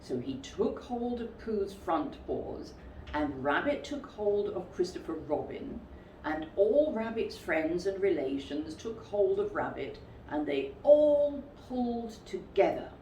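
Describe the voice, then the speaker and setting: Animated woman storyteller, slow and roomy. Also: mid-range voice. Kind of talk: expressive children's story reading. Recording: roomy, distant mic with faint noise.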